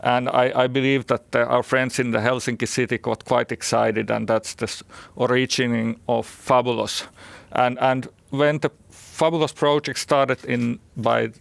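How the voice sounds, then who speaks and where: A man with a Finnish accent gives a conference presentation as a company executive, speaking haltingly and often repeating words. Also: mid-range voice, flat delivery, gravelly, no room sound. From Finland.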